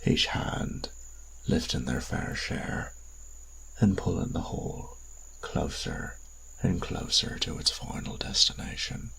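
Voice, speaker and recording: A man playing a sinister, courtly dark magical being in a fantasy audio roleplay, speaking formally and teasingly in a gravelly near-whisper. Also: a bass voice, a little hiss or hum, heavily acted, rough recording. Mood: smooth, expectant. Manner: formal, measured, archly polite, teasing.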